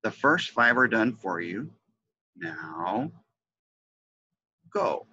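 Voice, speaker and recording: A middle-aged man presenting an instructional lesson, stressing key words with deliberate, emphatic enunciation into a headset. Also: lowish voice, very animated, bright, forceful tone, breathy edge, dry recording, dull audio. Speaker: teacher trainer presenting a lesson. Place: indoors, webcam presentation.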